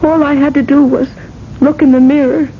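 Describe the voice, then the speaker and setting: A woman acting in a radio drama as a quiet, heartbroken young wife, halting and weary. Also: high-pitched, flat delivery. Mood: heartbroken, faint. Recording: tinny, noisy old radio recording.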